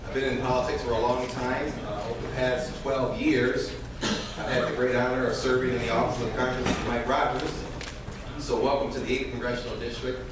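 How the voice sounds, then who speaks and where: A man's voice: medium pitch, little pitch movement, a little fast. A man giving a short campaign speech with a few fillers. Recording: echoey hall from far off, noisy.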